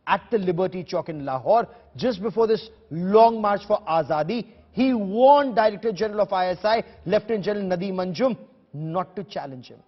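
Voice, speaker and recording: A man's voice: sits high, expressive, fairly quick, slightly rough, dull audio. A male TV news anchor with an Indian English accent, presenting and questioning in an emphatic, dramatic style. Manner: emphatic, repetitive, theatrical delivery. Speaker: TV news anchor and debate host.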